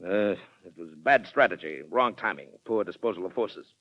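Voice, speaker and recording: An actor playing a proud, stubborn retired colonel with an American accent, formal and emphatic in 1940s radio acting style. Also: male voice, low voice, expressive, moderate pace, no echo. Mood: subdued. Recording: dry, muffled 1940s radio recording.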